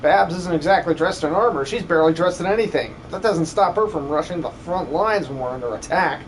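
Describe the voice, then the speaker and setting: A brash, rowdy fantasy game fighter, played by a man. The voice sits a little high for a male and has the swagger of someone rallying troops. It is an amateur dub with faint background noise. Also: animated, brisk, lightly acted, rough recording. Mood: scornful.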